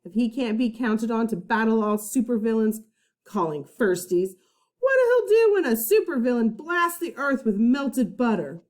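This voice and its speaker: A woman teacher reading a children's storybook aloud with dramatic emphasis, pausing to put questions to young listeners. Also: a little high, sing-song, a little mellow. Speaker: first-grade teacher.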